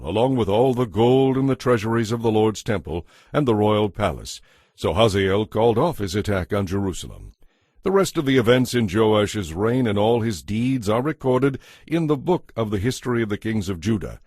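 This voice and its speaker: A man giving a measured, formal scripted narration for a dramatized audio Bible, as narrator. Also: on the low side, very animated, darkish tone, no room sound, studio quality.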